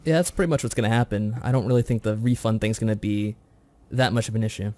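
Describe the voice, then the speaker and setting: A man co-hosting a podcast, discussing things casually in a measured, agreeable way. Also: fairly low pitch, darkish tone. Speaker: podcast co-host.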